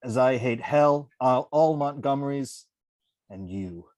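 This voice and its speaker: A man acts out a belligerent tough who taunts and threatens. His voice sits at a middle pitch. The sound is dry and close, like a script read on a video call. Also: unhurried, a little mellow, dry recording, close to an everyday voice. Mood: hostile, commanding. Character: belligerent, taunting men: street brawler and threatening police officer. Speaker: actor at a virtual table read. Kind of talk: script table read, dramatic dialogue. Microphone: home microphone on a video call.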